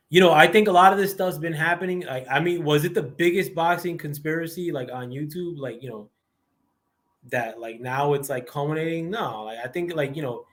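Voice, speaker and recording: A man with a squeaky voice ranting casually and profanely on his live stream, rambling with many fillers. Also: a bit bright. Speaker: livestream host. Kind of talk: casual boxing rant.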